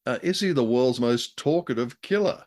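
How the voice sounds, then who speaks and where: A man's voice: mid-range voice, expressive, moderate pace, darkish tone. A man co-hosting a show in casual, bantering chat, with a wry, hesitant and understated way of talking. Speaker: co-host of a show reviewing old radio dramas.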